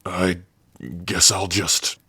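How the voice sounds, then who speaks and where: Man voicing a husky, low-voiced tender orc. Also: little pitch movement, dry recording, full character voice. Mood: bashful, tender. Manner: slow, halting, softly intimate. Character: big gruff tender orc warrior, shy and devoted. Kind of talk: ASMR roleplay. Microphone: close dry microphone.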